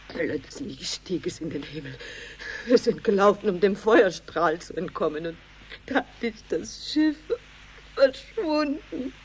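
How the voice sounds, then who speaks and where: An actress playing a distraught, abandoned space settler with a breathy, plaintive voice in a German radio drama. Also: female voice, fairly high pitch, rough recording.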